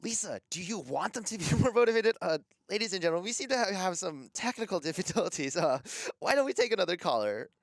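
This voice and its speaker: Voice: mid-range voice, sing-song, fast talker, gravelly, few pauses. An actor playing a harried, exasperated male radio host in an audio drama, stammering and strained. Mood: flustered.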